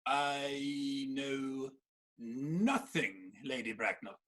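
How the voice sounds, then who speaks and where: A man playing a polite young Victorian gentleman suitor in a theatrical comedy reading, well-spoken and deferential. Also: mid-range voice, drawn-out words, breathy edge, exaggerated voice. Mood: sheepish. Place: virtual performance from home in isolation.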